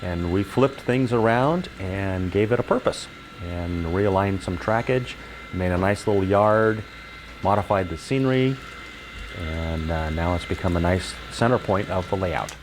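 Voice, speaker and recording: A man's voice: sits low, measured pace, a little hiss or hum. An older man giving an informal, explanatory tour of a model railroad layout as its hobbyist guide.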